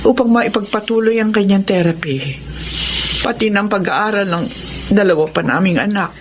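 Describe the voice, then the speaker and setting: A woman's voice: medium pitch, normal speed, noisy background, natural voice. An actress playing a worried, humbled woman with money troubles in a Tagalog radio drama. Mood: troubled, regretful.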